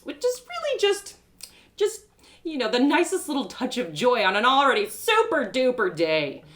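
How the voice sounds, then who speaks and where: Casting: woman performer as naive young heroine of an audio drama, stranded and betrayed, plucky, melodramatic, very animated delivery. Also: high-pitched, moderate pace, voice pushed up, exaggerated voice. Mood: flustered. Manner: dramatic, exclaiming, stammering in distress. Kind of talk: first-person audio drama storytelling.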